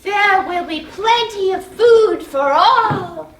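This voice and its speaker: Actress doing a gleeful plotting sea goddess, stage comedy, super slow n drawn out, echoey far off mic. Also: female voice, very high voice, lively intonation, a bit bright, exaggerated voice. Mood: breezy, coy. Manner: theatrical, coy, exclamatory. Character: scheming, gleeful sea goddess, aunt and consort of Neptune. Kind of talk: live stage comedy acting. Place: small playhouse stage, live performance. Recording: distant echoey theater recording, faint noise.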